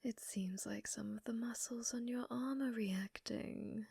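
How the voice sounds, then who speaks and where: A woman playing an obsessive, flirtatious scientist in an intimate ASMR roleplay, with soft breathy speech and precise clinical phrasing. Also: fairly high pitch, fairly even intonation, measured pace, dry recording, heavily acted. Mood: fascinated, fondling. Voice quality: soft, breathy, often whispered.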